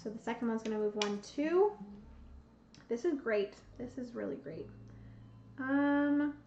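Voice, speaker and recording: A young woman, losing her voice, slowly talks herself through a solo board game at home, counting out pieces as she plays. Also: on the high side, slight background noise. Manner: slow, thinking aloud, counting pieces. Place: home room with bookshelves, indoors. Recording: roomy, slightly dull sound, soft music.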